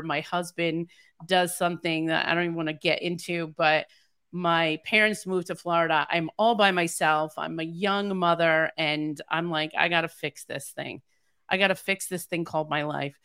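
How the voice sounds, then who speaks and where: A middle-aged woman telling personal stories in conversation as a podcast guest and leadership coach, acting out what people said. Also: fairly low pitch. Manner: animated, acts out quoted dialogue.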